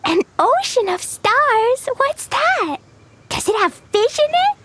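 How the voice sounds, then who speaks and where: A woman voicing a cheerful, innocent, impulsive little girl adventurer in a video game, with a childlike, naive, excitable manner. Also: very high voice, slow pace, no room sound, full character voice. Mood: innocent curiosity. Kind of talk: video game character acting.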